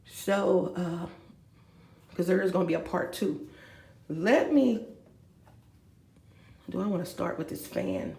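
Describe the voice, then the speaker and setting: Gravelly woman in her fifties. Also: lowish voice, takes it slowly, long pauses, darkish tone, roomy sound. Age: 50s. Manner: rambling, talks straight to viewers with asides. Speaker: vlogger. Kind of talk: rambling confessional vlog. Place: room with a bed, indoors.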